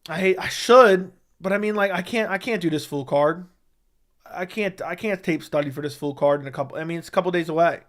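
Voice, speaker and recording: A man's voice: sits high, brisk, a bit bright. Blunt, opinionated man hosting a livestream. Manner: blunt, opinionated, repeats himself for emphasis. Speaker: MMA commentary livestream host. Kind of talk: casual livestream chat reading viewer questions.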